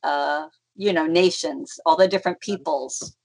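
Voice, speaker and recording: A woman's voice: low voice, a little slow, creaky. A middle-aged woman from North America, a folk dancer being interviewed, talking in a chatty, rambling way with many fillers and restarts. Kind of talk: casual conversational interview.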